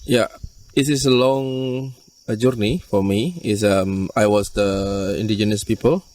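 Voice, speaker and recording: A man from Borneo with an Indonesian accent, giving earnest, halting interview answers in non-native English. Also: fairly low pitch, steady tone, a little mellow, distant mic. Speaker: conservation group leader being interviewed.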